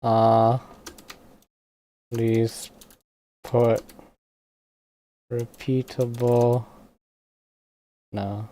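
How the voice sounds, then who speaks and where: A game streamer chatting casually on a livestream, thinking aloud in a halting way while typing at a computer. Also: medium pitch, flat delivery, mellow, low-quality recording. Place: indoors at a computer. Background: music, keyboard typing.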